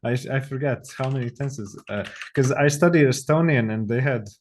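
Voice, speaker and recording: Very low-voiced woman. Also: brisk, a little hiss or hum. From Latvia. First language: Latvian. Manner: hesitant, soft laughs. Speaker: guest. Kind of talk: casual chat.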